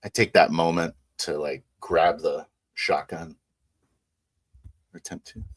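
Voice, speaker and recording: A man improvising first-person narration in a tabletop roleplay game, halting and low-key. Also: steady tone, husky.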